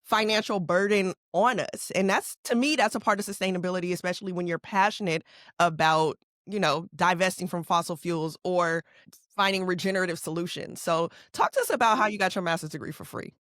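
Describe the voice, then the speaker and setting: A young woman from California hosting a casual interview, asking lively follow-up questions and affirming often. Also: lowish voice, a little fast, dry recording, studio quality. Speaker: podcast host. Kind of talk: conversational interview.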